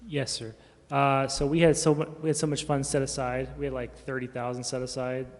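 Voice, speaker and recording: A man explaining things informally as a staff member, halting and often correcting himself. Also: medium pitch, little pitch movement. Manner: halting, self-correcting, casual. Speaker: city staff member answering council questions. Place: large indoor council chamber. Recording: reverberant council chamber, room noise.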